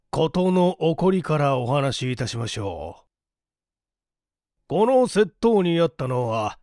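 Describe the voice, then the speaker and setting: A man reading a mystery story as a narrator, in a measured, polite and formal delivery. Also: fairly high pitch, a little mellow, clear, resonant tone, dry recording, studio quality. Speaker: audiobook narrator, stage actor. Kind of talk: dramatized literary reading, mystery novel.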